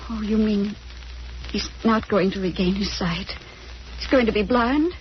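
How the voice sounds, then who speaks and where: An actress playing an anxious, guarded wife with earnest, careful delivery in 1940s radio melodrama style on a vintage recording. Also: female voice, mid-range voice, measured pace, muffled recording, slight background noise, natural voice. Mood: anxious, pleading. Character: anxious, guarded wife, pleading with former lover.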